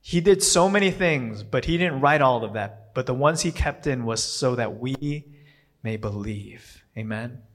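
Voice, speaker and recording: A man's voice: mid-range voice, expressive, a little slow. A man, not a native English speaker, preaching a casual sermon in a rambling way, often stopping to correct himself. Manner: rambling, self-correcting, with frequent fillers and restarts.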